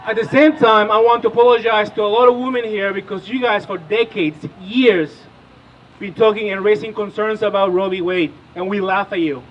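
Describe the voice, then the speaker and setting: A man in his thirties from Peru speaks at an outdoor rally, pushing the crowd with questions. His voice sits high for a man, and the recording is muffled and dull. A faint hiss runs under him. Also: fairly even intonation, moderate pace. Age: 30s. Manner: rousing, asks the crowd questions. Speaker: rally speaker, political organizer. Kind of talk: impassioned rally speech.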